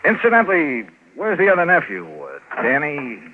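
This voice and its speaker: An actor playing a wry, hard-boiled insurance investigator with a probing, commanding manner in a 1950s radio drama. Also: male voice, a little high, huge pitch swings, normal speed, background noise. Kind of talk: 1950s radio detective acting. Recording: narrow, muffled old radio broadcast.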